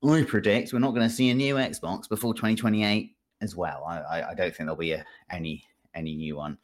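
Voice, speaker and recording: Deep voiced british guy, podcast chat, kinda wry, rambles as he thinks. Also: fairly even intonation, fairly quick. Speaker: gaming podcast host. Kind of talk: casual podcast discussion.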